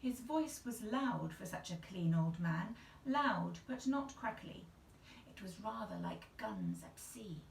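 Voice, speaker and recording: A woman storyteller reading to children with a noticeably creaky voice at medium pitch. She is some way from the microphone in a small, echoey room. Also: sing-song, takes it slowly, recorded from a distance. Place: small tiled-looking bathroom indoors. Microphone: camera a couple of metres away.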